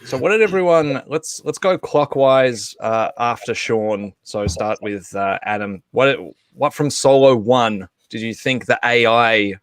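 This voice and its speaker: A man's voice: medium pitch, a little slow, few pauses, clear, resonant tone, dry recording. A man hosting a casual group discussion, posing questions to the others. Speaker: host.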